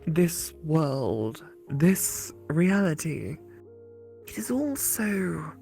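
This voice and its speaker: A woman's voice: sits low, very animated, extremely slow, creaky, dark tone, soft background music, exaggerated voice. A woman voicing an earnest, curious female AI companion in a visual novel, with a quizzical, wondering manner. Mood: wistful.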